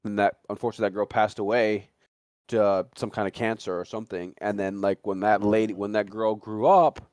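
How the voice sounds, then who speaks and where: Male talk panelist, low voice, dry close sound, casual and rambling, circling back over phrases. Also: steady tone, darkish tone, no room sound. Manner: rambling, halting with repetitions. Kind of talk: casual speculative discussion.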